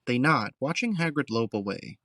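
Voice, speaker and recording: A man's voice: mid-range voice, expressive, normal speed, bright, forceful tone, slight background noise. A man narrating an audio drama in a scripted, scene-setting style.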